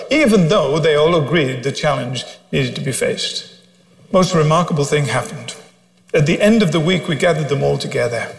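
A man's voice: fairly high pitch, gravelly. A man, preaching a sermon as a story, humorous and self-deprecating. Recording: long hall reverb.

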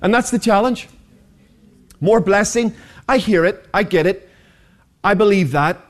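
A man's voice: high-pitched, measured pace. A man, preaching a sermon as pastor, passionate and rhetorical with humorous asides. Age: 40s.

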